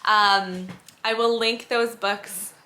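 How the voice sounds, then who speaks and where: A woman's voice: mid-range voice, steady tone, takes it slowly, sharp tone. A woman in her thirties chatting casually as a family vlogger and mother. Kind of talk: casual vlog chat. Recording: clear background noise.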